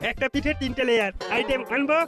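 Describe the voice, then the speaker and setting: A man voicing a boastful, excitable stall owner in a comic cartoon, emphatically rattling off lists. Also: very high voice, quick pace, faint music behind.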